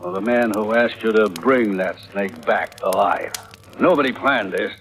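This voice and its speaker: An actor playing a calm, fatherly ship's captain, firm and reassuring, in old radio drama style. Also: on the low side, normal speed. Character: steady, fatherly older ship's captain, calm under pressure. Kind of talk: 1940s-50s radio drama acting. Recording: vintage radio recording, faint noise.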